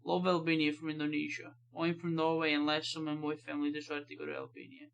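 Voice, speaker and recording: A man's voice: fairly high pitch, monotone, quick pace, gravelly, fairly bright tone. An American man hosting a reaction video, chatting casually and reading comments aloud with laid-back, offhand asides. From United States.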